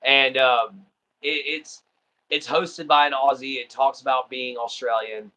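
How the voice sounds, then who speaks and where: A man with a Texan drawl talks loosely to his viewers, wandering from thought to thought with plenty of ums. His voice has a bright, forward edge at an ordinary middle pitch. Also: steady tone, measured pace, poor audio. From Southeast Texas. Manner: rambling, easygoing, many ums and uhs. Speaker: livestream host. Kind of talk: casual chat.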